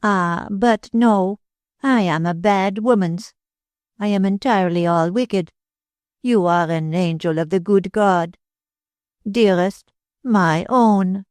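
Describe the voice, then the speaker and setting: A woman's voice: medium pitch, takes it slowly, darkish tone, smooth, no echo, pitched above the performer's own voice, natural voice. A woman voicing a fiery, jealous Spanish dancer who speaks broken English with a Spanish accent and a tempestuous, dramatic manner. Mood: passionate.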